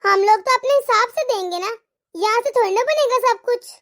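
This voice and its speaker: A young daughter-in-law in a cartoon family tale , acted by a woman, with a tiny, extremely high voice. She speaks respectfully but stands her ground with pointed questions. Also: moderate pace, a bit bright. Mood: polite, questioning. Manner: pleading, argumentative, respectful address. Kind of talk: animated family drama dialogue.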